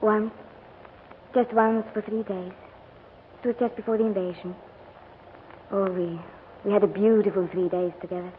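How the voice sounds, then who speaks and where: A woman's voice: medium pitch, steady tone, normal speed, pauses often, phone-quality sound, lightly acted. An actress playing a brave, earnest, devoted young Frenchwoman in a 1940s radio drama. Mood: wistful grief. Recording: thin, hissy old radio recording.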